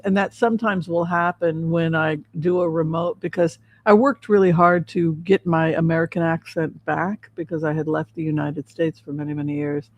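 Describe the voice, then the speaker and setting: A woman hosting a casual livestream as a psychic reader, talking in a halting, repetitive way. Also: lowish voice. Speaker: host, psychic tarot reader.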